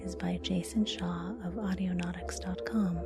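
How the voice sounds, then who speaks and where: A woman whispering a scripted ASMR podcast outro in a breathy voice, with music behind her. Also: fairly low pitch, fairly even intonation, moderate pace. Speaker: podcast announcer.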